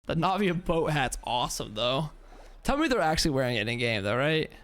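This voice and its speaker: A woman's voice: low-pitched, very animated, a little fast. Slangy young woman streamer reacting. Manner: playful, slangy, reacting out loud. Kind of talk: casual gameplay commentary. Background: game music.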